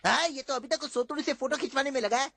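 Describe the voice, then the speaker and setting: A woman voicing a scheming, short-tempered rival ninja boy in a cartoon, gravelly and taunting. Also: sits high, fast talker, fairly bright tone, slight background noise. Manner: taunting, sneering, wordplay insults.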